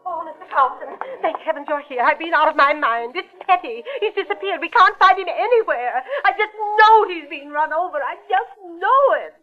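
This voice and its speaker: Shrill-pitched, fluttery society lady. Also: lively intonation, moderate pace, hardly any pauses. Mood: frantic, tearful. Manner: fluttery, overwrought, prim. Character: distraught, haughty, fussy well-to-do lady pet owner. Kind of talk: melodramatic 1940s radio acting. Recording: dull old radio recording.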